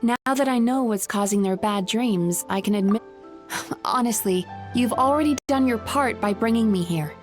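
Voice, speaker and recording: A woman voicing a composed young female healer in a video game, earnest and firm, speaking in a measured, articulate way. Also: on the high side, music in the background, close to an everyday voice. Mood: resolved. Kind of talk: video game character acting.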